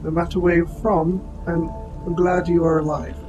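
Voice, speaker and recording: A man playing a gentle, protective robot soldier in an audio roleplay, speaking to the listener in formal, precise, measured wording. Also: a little high, level tone, highs cut off, lightly acted. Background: music.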